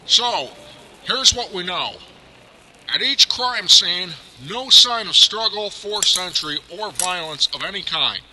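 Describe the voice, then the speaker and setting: An actor playing a police commissioner giving a formal, deliberate public address in old-time radio style. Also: male voice, high voice, expressive, bright, forceful tone, heavily acted. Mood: official, firm. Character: brisk, official male police commissioner. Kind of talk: 1940s radio acting. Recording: clearly noisy background.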